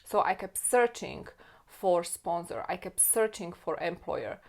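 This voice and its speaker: A woman's voice: fairly low pitch, lively intonation, unhurried, gravelly, a little mellow. A woman from Slovakia with a Slovak accent, an immigration attorney talking straight to camera and repeating her key points earnestly. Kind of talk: explainer video to camera.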